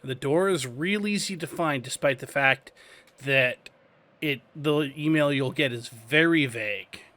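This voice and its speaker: A male host giving a casual walkthrough commentary on a video game, explaining each step in a relaxed, drawn-out way. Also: medium pitch.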